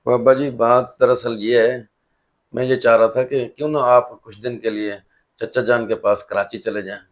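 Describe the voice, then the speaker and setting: A man's voice: medium pitch, steady tone, moderate pace, lightly acted. A man playing a dutiful, anxious and deferential elder son in a moral short drama. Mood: hesitant, persuading. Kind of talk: Urdu moral short-film acting.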